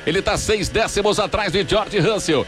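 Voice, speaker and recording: A man with a Brazilian Portuguese accent giving live radio race commentary, with fast, excited play-by-play and some stammered repeats. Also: high-pitched, over music.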